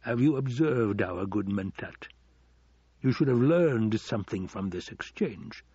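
A man voicing a scheming, silkily menacing older nobleman in an audiobook, with slow, drawn-out taunts. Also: lowish voice, animated, dark tone, husky, dry recording, highs cut off. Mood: mock-affectionate.

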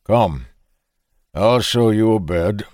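A gruff, stout farmer, as a male narrator puts him on, deep-voiced and condescending. He talks loudly and bluntly, with a lively, swinging delivery in a dry, close sound. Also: takes it slowly, no echo. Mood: fatherly. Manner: brusque, loud, fatherly.

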